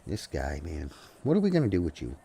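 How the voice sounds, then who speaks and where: Very deep gravelly man with a stuffy nose. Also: wildly swooping pitch, brisk, mellow. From Canada. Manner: mumbling, talks to himself and the game. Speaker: gaming streamer. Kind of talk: casual solo game commentary.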